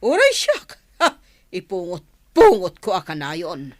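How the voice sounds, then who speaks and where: A woman's voice: lowish voice, wildly swooping pitch, takes it slowly, full character voice. A woman playing the hot-tempered, cursing wife of a crime boss in an audio drama. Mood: furious. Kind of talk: radio drama dialogue.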